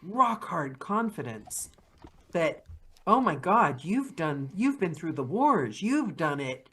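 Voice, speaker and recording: Low-voiced woman hosting an interview. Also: sing-song, slow, a bit bright, slightly rough. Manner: emphatic, earnest storytelling. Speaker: podcast host and narrator. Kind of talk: casual interview chat.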